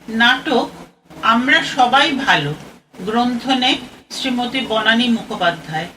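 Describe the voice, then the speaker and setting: A woman's voice: on the high side, expressive, measured pace, bright, forceful tone. A woman narrating a scripted introduction to an audio play as its presenter. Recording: faint noise, slightly distant mic.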